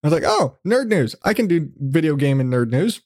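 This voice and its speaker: Male podcast host, slightly high voice, dry close sound. Rambling, self-deprecating, sweary, conversational. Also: sing-song, fairly quick, a little mellow, no echo. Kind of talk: casual chat interview.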